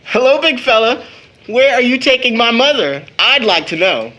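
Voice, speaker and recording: A man's voice: very high voice, lively intonation, sharp tone, lo-fi sound, exaggerated voice. An actor playing a young man, a devoted son with a bitter, teasing streak, in a dramatic audio play. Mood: playful, affectionate. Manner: dramatic, emphatic delivery with long pauses. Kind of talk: stage-style audio drama acting.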